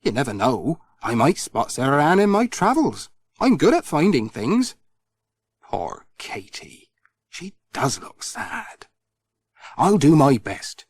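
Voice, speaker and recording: A male narrator plays a cheerful, kindly village postman from a children's storybook. The voice is friendly and exclamatory, leaping high and swooping, on a dry recording. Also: wildly swooping pitch, slow pace, slightly rough, dry recording, exaggerated voice. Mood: reassuring. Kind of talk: children's audiobook character voice.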